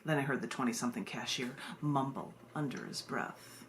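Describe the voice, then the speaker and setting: A woman's voice: a bass voice, moderate pace. An American woman hosting a live online poetry reading, sharing poems and casual asides with her viewers. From United States. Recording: noisy live video stream.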